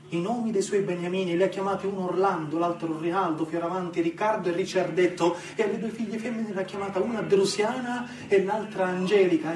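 A man's voice: high voice, darkish tone, roomy sound, natural voice. Guy playing a sentimental sicilian storyteller, emphatic and earnest radio theatre style, sounds distant, music playing behind. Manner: emphatic, drawn-out, enraptured storytelling.

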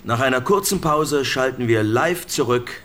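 An actor playing a composed news anchor in a radio drama, asking questions in a formal, measured, polite way. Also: male voice, medium pitch, natural voice. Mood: serious, inquiring. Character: composed male news anchor questioning experts on a monster disaster. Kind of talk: scripted radio-drama newscast interviewing.

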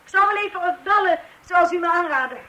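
Very high-voiced, flustered housewife. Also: female voice, brisk, bright, forceful tone, poor audio. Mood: polite, hesitant. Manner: hesitant, faltering, polite. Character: flustered, polite housewife, wife of a clumsy do-it-yourselfer. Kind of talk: radio play acting. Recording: old radio play recording.

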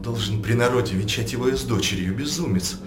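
An actor playing an indignant, nervous high churchman in a theatrical radio play, with a fretful manner. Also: quick pace, natural voice. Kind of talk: theatrical verse radio drama acting. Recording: echoey room with background noise.

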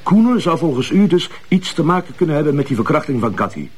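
A man playing a sceptical, methodical Flemish police detective who questions things and raises measured objections in a TV drama. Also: fairly high pitch, sing-song, breathy edge. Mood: doubtful.

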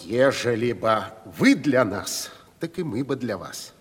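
Crafty old-time timber dealer, rough husky voice, fake polite, stage radio play, big echo. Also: male voice, mid-range voice, very animated, slow, full character voice. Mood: stubborn, smug. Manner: folksy, mock-deferential haggling. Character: sly, stubborn provincial timber merchant, a stern father. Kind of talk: 1970s theatrical radio play acting. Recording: reverberant 1970s radio play studio.

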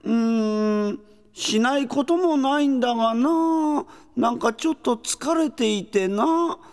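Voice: mid-range voice, slow pace. A performer playing a weary, pompous old castle ruler in a Japanese comedic audio drama, with old-fashioned, hesitant speech. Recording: long reverberant castle-hall effect.

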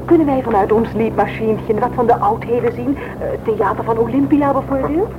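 A cultured, self-assured lady aviator played by an actress in a radio play, curious and fairly high in voice. The old recording is muffled, with clear engine noise behind her. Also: moderate pace, natural voice. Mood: curious, wistful. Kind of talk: radio drama acting.

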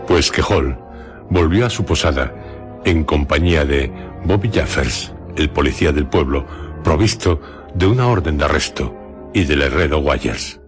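A man narrating a radio drama in a measured, literary storytelling style. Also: rumbling low, expressive, over music.

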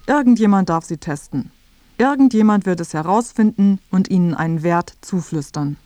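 A woman's voice: lowish voice, animated, moderate pace, mellow, dry recording. A woman narrating a scripted radio feature, addressing the listener directly in a wry way.

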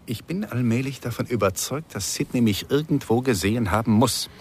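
An actor playing a worried, placating lover in a radio drama, reasoning in a hesitant, measured, coaxing way. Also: male voice, medium pitch, darkish tone, slight background noise, natural voice.

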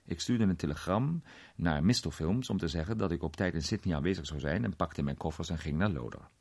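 A man playing a worldly film actor in a radio drama, recounting an unsettling memory in a conversational, sometimes hesitant, theatrical way with a husky voice. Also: deep voice, a little fast, a little mellow, full character voice. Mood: reminiscing. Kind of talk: radio drama storytelling in character.

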